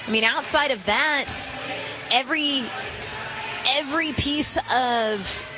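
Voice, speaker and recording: A woman giving conversational, opinionated colour analysis on a softball radio broadcast, speaking emphatically. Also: sits high, takes it slowly, music in the background, rough recording.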